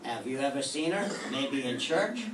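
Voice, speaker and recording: A man's voice: medium pitch, big pitch swings, moderate pace, a bit bright, far from the mic. Older man playing a hard-boiled detective, clipped blunt questions, echoey room, faint background noise. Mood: probing. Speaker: amateur radio drama performer. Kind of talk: live reading of a 1940s radio detective drama.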